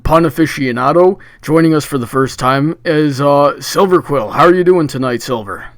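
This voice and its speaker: A man's voice: fairly high pitch, normal speed, noisy background. A man hosting a live debate stream in a chatty, upbeat way, with frequent filler restarts. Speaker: debate livestream host. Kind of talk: casual hosting chat.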